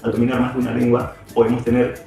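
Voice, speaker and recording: A man's voice: mid-range voice, completely flat delivery, fast talker, creaky, dark tone. Hesitant man in an echoey room. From Peru. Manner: reflective, hesitant with many fillers and restarts. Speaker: teacher. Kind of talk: interview answers. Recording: very echoey room, far from mic, music behind.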